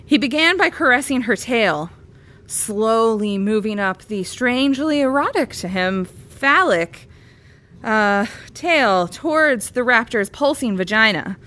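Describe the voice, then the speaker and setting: A woman's voice: fairly high pitch, animated, a little slow, sharp tone. A woman reading a bawdy comic parody story aloud as a storyteller. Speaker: comedy storyteller.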